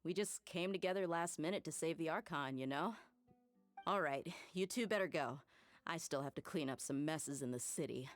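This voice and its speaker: Brash, low-voiced mercenary woman, voiced by an actress. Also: normal speed, soft background music, lightly acted. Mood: confident. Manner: blunt, casual, swaggering. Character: brash, tough, good-hearted young female mercenary. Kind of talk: anime game voice acting.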